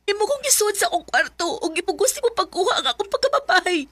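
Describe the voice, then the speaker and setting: Plaintive, very high-voiced young woman. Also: big pitch swings, fast talker, a bit bright, no room sound, exaggerated voice. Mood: hurt pleading. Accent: Filipino, Bisaya. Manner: plaintive, pleading. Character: lovesick, long-suffering young woman pleading with indifferent lover. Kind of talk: emotional radio drama acting.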